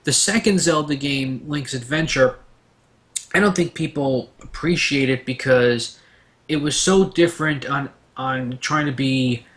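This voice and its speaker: A man's voice: mid-range voice, measured pace, a bit bright. A man in a casual gaming discussion, giving opinions in a rambling, storytelling way as a panelist.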